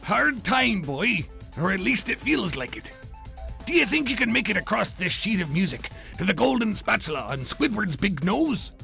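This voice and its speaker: A man voicing a crusty old male crab boss in a cartoon game, gruff, grumbling and gravelly. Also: high-pitched, sing-song, moderate pace, pushed and bright, soft background music, full character voice. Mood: exasperated.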